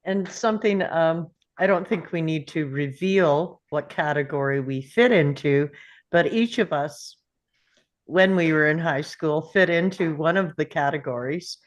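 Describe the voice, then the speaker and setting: Slow-talking, low-voiced woman. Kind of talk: casual group discussion.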